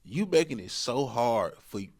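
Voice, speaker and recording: A man in his thirties talking casually on a podcast at a middle pitch. He rambles and repeats words, with easygoing colloquial speech and frequent laughs. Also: very animated, moderate pace, darkish tone. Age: 30s. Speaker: podcast co-host. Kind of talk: casual relationship advice talk.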